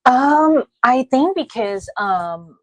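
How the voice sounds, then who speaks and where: A woman's voice: high voice, animated, takes it slowly, dry recording. Thai-accented woman guest, dry sound. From Thailand. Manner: hesitant, many fillers, non-native grammar. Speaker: guest, aesthetician. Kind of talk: casual interview.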